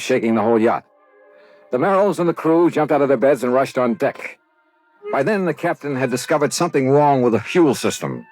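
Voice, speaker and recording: Lively, clipped American radio detective, played by a man. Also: mid-range voice, close to an everyday voice, poor audio. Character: brisk, sceptical male insurance investigator. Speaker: lead actor and first-person narrator. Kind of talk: old-time American radio detective drama.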